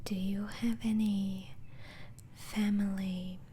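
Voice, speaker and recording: A woman whispers very slowly in a dark, soft, breathy voice. She plays an interviewing doctor in an ASMR role-play, putting gentle questions with halting pauses. There is clear noise in the recording. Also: medium pitch, little pitch movement.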